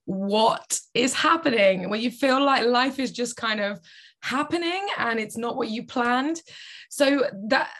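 A woman, co-hosting a casual podcast, her voice tired and a little croaky. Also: a little high, a bit bright. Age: 20s. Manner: chatty, reflective, quotes her own inner thoughts. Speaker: podcast co-host. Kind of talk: casual chat.

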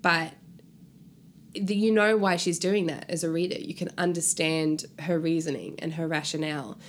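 A woman's voice: low voice, steady tone, moderate pace. A woman from New Zealand chatting casually as an interview guest, joking and using slang. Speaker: guest author. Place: hotel room. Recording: background noise in room.

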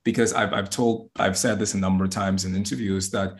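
A man's voice: low voice, flat delivery, fairly quick. A man from the Bahamas, a guest astrologer and yoga teacher, talking earnestly in a casual interview and often restarting his words.

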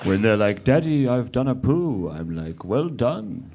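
A man playing a deep-voiced, eager aide. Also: big pitch swings, a little slow, background noise. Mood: earnest. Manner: earnest, eager to please. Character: dim, eager aide, a father. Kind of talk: comic audio sketch.

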